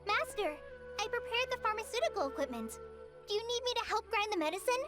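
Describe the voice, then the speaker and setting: A woman's voice: extremely high pitch, very animated, fast talker, over music. A woman voicing an eager, earnest young girl in a video game, a little hesitant and unsure of herself. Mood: eager, deferential.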